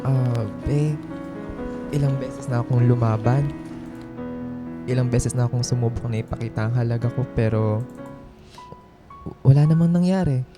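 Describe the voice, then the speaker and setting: A man's voice: medium pitch, normal speed, long pauses, loud music behind the voice, close to an everyday voice. An actor playing a worn-down but resolute male office worker in a radio drama, hesitant and heartfelt. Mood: weary, emotional.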